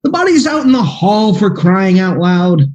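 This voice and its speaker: A man playing a fretful private detective in a radio play, flustered and quick to exclaim. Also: high-pitched, very animated, darkish tone, muffled recording.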